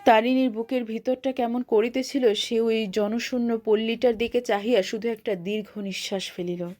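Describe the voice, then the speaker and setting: A woman narrating a literary short story in a measured, formal reading style. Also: on the high side, steady tone, a little mellow.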